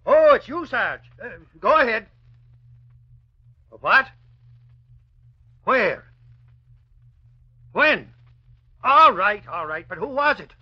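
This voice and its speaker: An actor playing an excitable, blustering, talkative Irish newshound with an Irish accent in an old radio drama. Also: male voice, extremely high pitch, slow, long pauses, breathy edge, exaggerated voice. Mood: excited. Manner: blustering, exclamatory. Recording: dull, lo-fi old radio recording.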